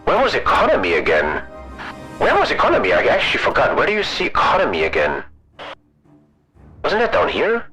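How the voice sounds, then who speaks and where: Young adult man, loud profane live-stream gamer, huge pitch swings, light game music behind. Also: huge pitch swings, brisk, long pauses. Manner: loud, swearing, jumpy rants to chat.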